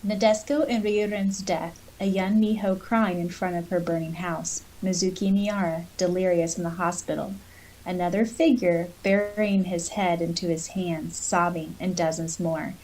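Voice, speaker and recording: A woman's voice: lowish voice, steady tone, moderate pace, a little mellow, low-quality recording. A woman co-hosting a podcast, casually discussing a book and reading out passages from it. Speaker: podcast co-host.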